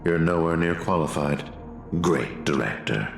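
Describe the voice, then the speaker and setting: A man's voice: rumbling low, animated, unhurried, vocal fry, heavily acted. A man voicing a menacing, contemptuous game villain with a scornful, threatening delivery. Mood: cold contempt. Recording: echoing, music behind.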